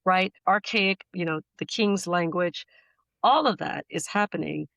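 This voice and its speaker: American woman, podcast guest. Lowish voice, thoughtful and emphatic explainer, very dry recording. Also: a little slow, creaky, fairly bright tone, no room sound. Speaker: guest poet and author. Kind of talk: conversational podcast interview.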